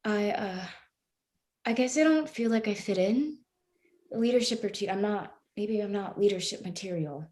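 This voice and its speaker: An actress playing a hesitant, withdrawn woman in a staged play reading, speaking haltingly. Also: mid-range voice, a little mellow, lightly acted. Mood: awkward, reluctant. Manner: halting, hesitant, filler-heavy. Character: hesitant, withdrawn woman walking away from a retreat.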